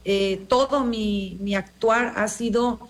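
A woman's voice: medium pitch, fairly even intonation, slow pace. A middle-aged woman with a Mexican accent giving formal, argumentative interview answers as a politician, emphatic and repetitive. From born in Los Angeles, Mexican.

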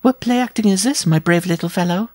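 A robust circus mademoiselle, played by a man in a storybook tale. The voice is pitched very high, coy and teasing, with theatrical politeness. The sound is dry and close. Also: brisk, darkish tone, dry recording, natural voice. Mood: amused, teasing. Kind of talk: storybook character voice.

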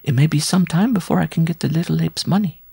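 Man putting on a high-voiced, scornful flirt of a bride. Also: quick pace, vocal fry, dark tone, breathy edge, dry recording, clean studio sound, exaggerated voice. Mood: sly. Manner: roguish, theatrical cooing and sneering.

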